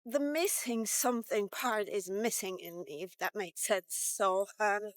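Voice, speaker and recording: A woman in her fifties talking candidly and reflectively in a casual, halting vlog monologue, with some swearing. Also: mid-range voice, no room sound. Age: 50s. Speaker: vlogger.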